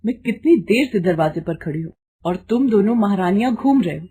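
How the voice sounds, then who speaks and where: A woman's voice: medium pitch, expressive, brisk, mellow, husky. Woman voicing a haughty, scolding young daughter-in-law. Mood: sarcastic, angry. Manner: taunting, complaining.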